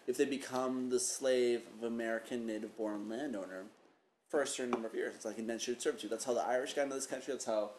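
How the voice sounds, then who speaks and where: A young man co-hosting a casual podcast, cracking dry, deadpan jokes. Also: mid-range voice, fast talker. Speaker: podcast co-host. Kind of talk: casual banter. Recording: echoey room with faint noise.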